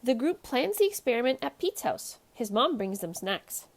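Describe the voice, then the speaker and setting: Woman, children's story host, fairly high voice, very varied intonation, expressive storybook narration. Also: moderate pace. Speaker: host of children's read-aloud show.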